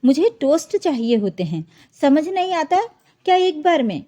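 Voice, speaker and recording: Curt, high-pitched irritable husband, read by a woman. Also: sing-song, normal speed, mellow. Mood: irritated. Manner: curt, demanding. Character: irritable, shouting husband, an adult man.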